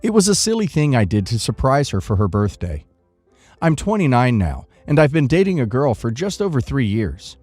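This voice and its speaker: A man narrating first-person online stories from a script in an expressive reading manner. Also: medium pitch, moderate pace, slightly rough, dry recording, soft background music.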